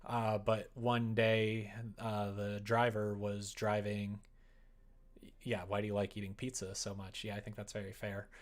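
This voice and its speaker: A man's voice: on the low side, monotone, a little slow. A man chatting casually on a livestream while he works, thinking aloud in a rambling, hesitant way. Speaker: livestreamer making a visual novel. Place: indoors at home.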